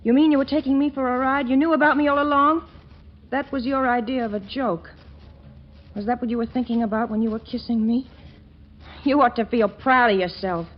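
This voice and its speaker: A woman's voice: fairly high pitch, fairly even intonation, fairly quick, natural voice. An actress playing a sassy young woman con artist out for revenge in a 1940s radio comedy, on a dull old recording. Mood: indignant, bitter.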